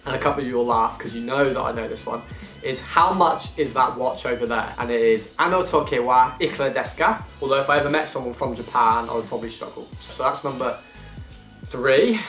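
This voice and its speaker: A young man from England talks casually in a rambling, self-deprecating way, chatting on while eating. His voice sits at an ordinary middle pitch. The room has a noticeable echo, with faint music underneath. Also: brisk, a bit bright. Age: 20s. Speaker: YouTuber. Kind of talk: casual vlog Q and A.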